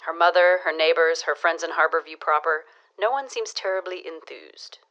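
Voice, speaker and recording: A low-pitched female gossip in a period audio drama. She is arch and knowing, dishing local romance news with sly, teasing relish. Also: normal speed, sharp tone, lo-fi sound. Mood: confiding.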